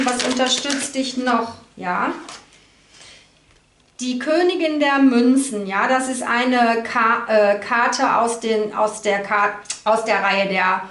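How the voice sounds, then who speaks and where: Female card reader, high voice, unscripted and halting, speaking directly to viewer in a roomy, echoing space. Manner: halting, thinking aloud, frequent tag questions. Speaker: tarot card reader, host. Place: indoor room at a table. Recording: roomy echo.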